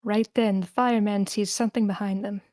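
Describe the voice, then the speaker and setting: A woman's voice: mid-range voice, steady tone, a little fast, darkish tone, no room sound. A woman reading the stage directions of a screenplay as scripted narration at a table read.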